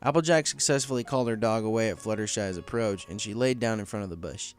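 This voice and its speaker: A man's voice: fairly low pitch, fast talker, smooth, soft background music. A man reading a story aloud as scripted narration. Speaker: story reader.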